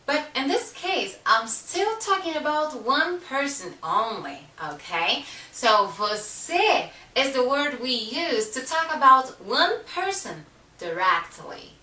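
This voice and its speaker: Slow, lively Brazilian woman teaching. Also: sits high. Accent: Brazilian Portuguese. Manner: patient, explains slowly and repeats words. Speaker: language teacher. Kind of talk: language lesson. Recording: echoey room, mic at a distance.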